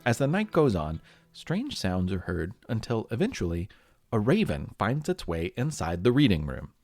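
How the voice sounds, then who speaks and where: A man's voice: on the low side, huge pitch swings, moderate pace, a little mellow, no echo, studio quality, soft background music. A man with an American accent narrating a scripted history podcast as host, telling it like a storyteller to young listeners.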